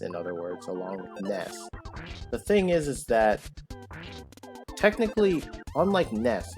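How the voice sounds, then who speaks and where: Rambling man over game music. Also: lowish voice. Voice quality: loud voice. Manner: rambling, filler-heavy, self-correcting. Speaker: gaming channel host. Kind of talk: casual gameplay commentary.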